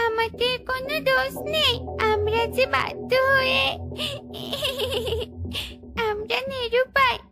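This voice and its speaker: A little cartoon duckling with an extremely high, squeaky voice. The child sounds naive and earnest. Loud children's music runs behind the voice. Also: female voice, steady tone, slow, pauses often, heavily acted. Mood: pleading.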